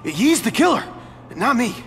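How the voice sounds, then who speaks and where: A frightened male suspect in a video game. His high voice jumps around as he pleads in agitated, halting phrases, ringing with long reverb over faint noise. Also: big pitch swings, a bit bright, full character voice. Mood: anxious, insistent.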